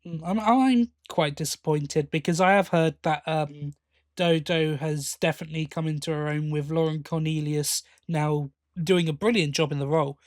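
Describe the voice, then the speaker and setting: A man's voice: on the high side, fairly even intonation, moderate pace, no echo, studio quality. A man co-hosting a fan podcast, giving opinions in a casual, conversational review style. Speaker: podcast host.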